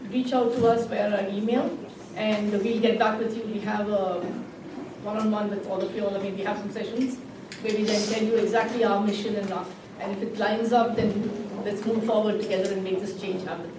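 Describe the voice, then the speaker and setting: A woman's voice: mid-range voice, fairly even intonation, brisk. Hesitant woman hosting, echoey room. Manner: hesitant, many fillers. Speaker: event host for an environmental group. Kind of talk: introducing speakers at a community event. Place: library event room. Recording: very echoey room, far from the mic, noisy.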